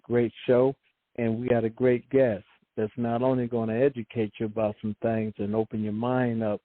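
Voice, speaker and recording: A man hosting a talk show, energetic and casual in his phrasing. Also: medium pitch, dry recording. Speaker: host. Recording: dry, tinny phone line.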